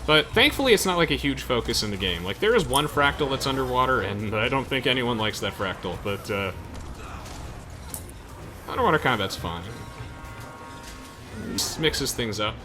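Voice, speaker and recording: A man's voice: mid-range voice, very animated, normal speed, long pauses, music in the background. A young man giving casual, chatty gameplay commentary to friends, explaining things with hesitant trailing asides. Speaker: gamer hosting a let's play.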